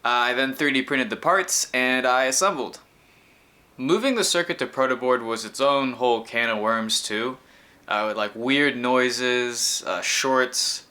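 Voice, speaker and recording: Male host, twenties, bright tone, mid pitch, informal technical explainer. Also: measured pace. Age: 20s. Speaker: host explaining his electronics project. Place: small room indoors.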